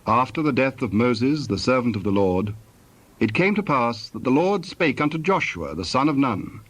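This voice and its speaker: A man narrating scripture in a solemn, scripted storytelling style with a husky voice. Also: medium pitch, animated, moderate pace.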